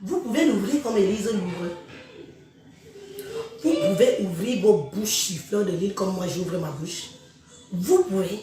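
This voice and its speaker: A woman's voice: mid-range voice, expressive, takes it slowly, pauses often. A woman from Cameroon with a head cold, giving a scornful, preachy live-stream rant that addresses her listeners directly. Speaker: social media live streamer. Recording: very echoey room, far from mic.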